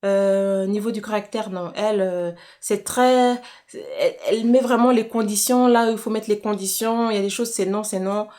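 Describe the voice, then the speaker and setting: A young woman speaks in a casual, chatty vlog style at a middling pitch. She thinks aloud as she answers personal questions, often rephrasing and correcting herself. She comes from Kinshasa and talks like a YouTuber addressing her followers. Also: normal speed, darkish tone. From Congolese, from Kinshasa, living in Switzerland. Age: young adult.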